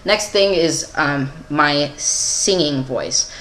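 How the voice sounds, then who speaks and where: A man's voice: fairly high pitch, takes it slowly, a bit bright. A young adult man giving a casual, candid personal video update in a rambling, giggly, self-deprecating way. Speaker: vlogger.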